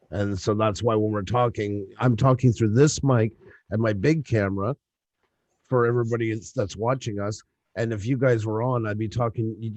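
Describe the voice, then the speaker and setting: A man's voice: lowish voice, darkish tone, no room sound. A man hosting a casual livestream chat, explaining tech setups step by step and laughing easily. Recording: dull lo-fi audio.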